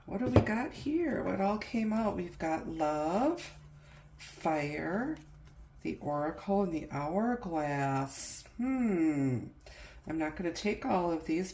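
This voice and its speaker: Slow-talking stuffy-nosed woman tarot reader. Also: lowish voice, echoey room, background noise. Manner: slow, chatty, musing aloud over cards. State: stuffy nose from the flu. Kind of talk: casual tarot reading.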